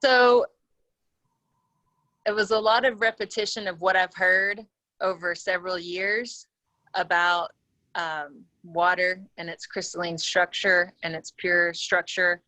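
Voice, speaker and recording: A woman's voice: lowish voice, steady tone, a little slow, pushed and bright, no room sound, poor audio. A woman taking part as a guest on a conference call, talking conversationally and thinking aloud with hesitant phrasing. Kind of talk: conversational, reflective account.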